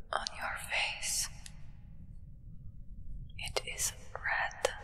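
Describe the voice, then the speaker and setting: A young woman playing a solemn, devoted vampire acolyte in a hushed, reverent ASMR roleplay whisper. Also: fairly high pitch, vocal fry, long reverb tail, exaggerated voice, low-quality recording. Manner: hushed, slow, reverent, deliberate. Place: indoors, small draped set.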